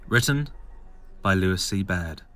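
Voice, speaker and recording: A man with a fairly low voice narrates an audio drama from a script. There is faint noise and ambience behind him. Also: lively intonation, a little slow, a bit bright.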